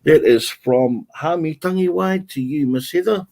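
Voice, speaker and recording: Slow-talking New man hosting. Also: a little high, gravelly, mellow. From New Zealand. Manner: answers viewer comments one by one, halting and unhurried. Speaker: livestream host. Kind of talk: casual livestream chat.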